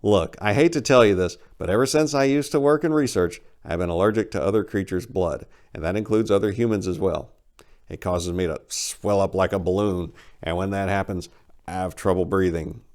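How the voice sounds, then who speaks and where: A man's voice: deep voice, brisk, darkish tone, close to an everyday voice. A man voicing an exasperated, blunt hero of a tall tale, complaining in an emphatic, plain-spoken way. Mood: blunt, resigned.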